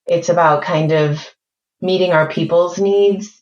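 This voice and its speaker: A woman born in France and raised mainly in Spain, with a mixed accent shaped by American and British English, speaking as a guest in a podcast interview in a measured, articulate way. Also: fairly low pitch, steady tone, darkish tone, echoey room, dull audio. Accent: mixed French, Spanish, American and British. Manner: measured, articulate, thinks aloud with fillers. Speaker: corporate learning executive, guest.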